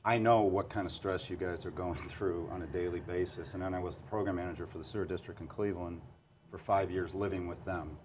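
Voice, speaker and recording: A middle-aged man hosting a podcast interview, affirming his guests with quick "right"s. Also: low-pitched, steady tone, a little mellow, a little hiss or hum. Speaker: podcast host.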